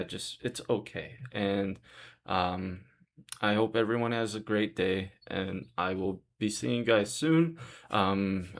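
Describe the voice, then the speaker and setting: A young man with a deep voice talking earnestly and reassuringly in a rambling vlog monologue. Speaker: vlogger. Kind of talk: casual monologue to camera.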